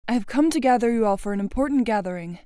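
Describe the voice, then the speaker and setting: A woman's voice: on the high side, animated, quick pace, mellow, no room sound, studio quality, close to an everyday voice. A woman playing a composed, polite young organizer in a fan audio drama, speaking in a businesslike way. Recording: music under the voice.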